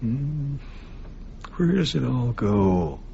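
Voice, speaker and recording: A man's voice: mid-range voice, very animated, very slow, creaky, warm tone, heavily acted. A man playing a weary, tender, bookish ageing father in a radio drama, musing and melancholy. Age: middle-aged. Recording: muffled, noisy radio drama recording.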